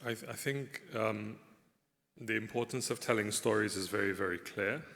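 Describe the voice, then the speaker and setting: A man's voice: lowish voice, moderate pace, gravelly, a bit bright, long reverb tail. A man giving panel remarks, stumbling and repeating words.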